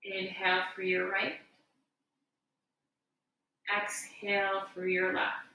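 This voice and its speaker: A woman leads a guided meditation in a very echoey room, some distance from the microphone. She speaks slowly and gently, like a yoga teacher giving soothing step-by-step instruction. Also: medium pitch, monotone. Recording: echoey room, distant mic, faint noise.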